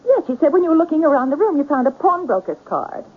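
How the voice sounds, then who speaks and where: An actress playing a romantic yet practical woman in a mystery radio drama, coaxing and questioning in a husky voice. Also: high voice, very animated, fast. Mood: coaxing, curious. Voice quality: husky, breathy. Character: romantic, practical, gently prodding female listener of writer's tale. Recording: narrow tinny radio broadcast.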